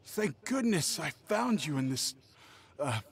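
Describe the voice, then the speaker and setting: A man's voice: a little high, big pitch swings, measured pace, gravelly, exaggerated voice. A man voicing a nervous, cowardly traveling merchant in a video game, stammering and given to exaggeration. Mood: shaken.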